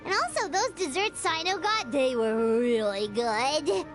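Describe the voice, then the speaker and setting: An anime game fairy sidekick, tiny and childlike. The pitch is extremely high and leaps about with chirpy, boastful excitement. Music plays clearly behind the voice. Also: female voice, unhurried. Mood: content. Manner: chirpy, boastful, refers to self in third person. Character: small excitable childlike fairy girl companion. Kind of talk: anime video game acting.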